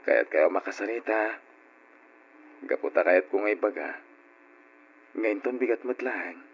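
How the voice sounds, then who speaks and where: Gentle Ilocano uncle, muffled radio drama. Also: male voice, on the low side, expressive, unhurried, slightly rough, full character voice. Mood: reassuring. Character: Uncle Gilbert, kindly adult son and guardian of orphans. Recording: dull lo-fi radio recording with music.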